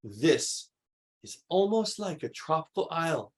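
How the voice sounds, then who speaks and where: Expressive, wistful adventurous storybook woman played by a man. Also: fairly high pitch, measured pace. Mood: wondering.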